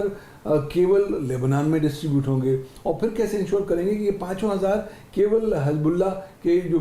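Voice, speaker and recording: A man's voice: fairly high pitch, mellow. A man speaking as an expert guest, explaining analytically point by point with English technical terms mixed in. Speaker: cyber security expert guest. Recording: echoey room with background noise.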